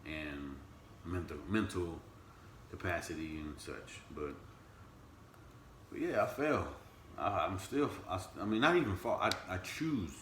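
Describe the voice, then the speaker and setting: Deep-voiced man in his thirties, echoey room. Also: measured pace, pauses often, a little hiss or hum. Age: 30s. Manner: animated, swings to high teasing pitch when joking. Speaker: podcast co-host. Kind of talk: casual couple chat.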